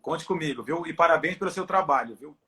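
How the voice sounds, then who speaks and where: Middle-aged male doctor as interview guest, inland São Paulo Brazilian accent, high voice, casual and friendly. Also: fast, bright, forceful tone. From Ribeirão Preto, São Paulo, Brazil. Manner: friendly, informal. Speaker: guest, football club doctor. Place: at home on a video call.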